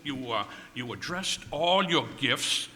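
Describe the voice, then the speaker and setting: A man's voice: medium pitch, expressive, slow pace, sharp tone, exaggerated voice. A man playing a flustered, long-suffering comedian husband in an old-time radio comedy, stammering and pleading. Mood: pleading, impatient. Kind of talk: 1940s radio comedy acting, live stage tribute. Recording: echoey hall with faint noise.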